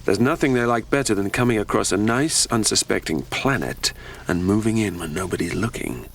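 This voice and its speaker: An actor with a British accent playing an excitable, whimsical time-travelling adventurer in an audio drama, breezy and eager. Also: male voice, on the low side, fairly quick, vocal fry. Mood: hushed, wry.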